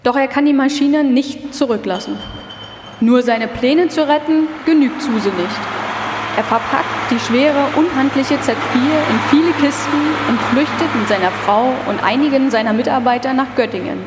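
A woman's voice: sits high, moderate pace, noisy background. A woman reading scripted narration as the narrator of a live documentary radio feature. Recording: echoey hall, live stage performance.

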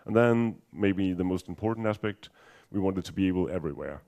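A deep-voiced Danish man presents at a software conference in accented English. His tone is dark and relaxed as he works through technical points. He pauses often and restarts sentences, with a dry, self-mocking humor. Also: gravelly. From Denmark. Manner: hesitant, many ums and restarts, dry humor. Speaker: conference speaker.

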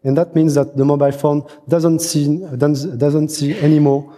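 Dark-voiced non-native man presenting in a hall. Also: fairly high pitch, flat delivery. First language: not a native English speaker. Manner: halting, repeats and restarts phrases mid-sentence. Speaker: conference speaker presenting research. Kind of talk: technical conference presentation. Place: large conference hall. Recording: echoey hall, long reverb, muffled.

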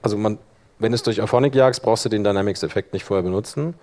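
Man giving an informal software workshop. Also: on the low side, fast talker. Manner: colloquial, explanatory, hands-on. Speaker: workshop presenter. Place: live workshop in front of attendees.

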